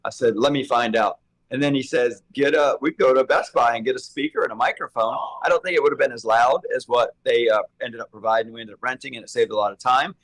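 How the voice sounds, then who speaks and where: A slightly hoarse man co-hosting a relaxed chat. His pitch sits in a middling range, and he rambles, piling up thoughts as they come. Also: quick pace, poor audio. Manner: rambling, thinks aloud. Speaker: co-host. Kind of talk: casual chat.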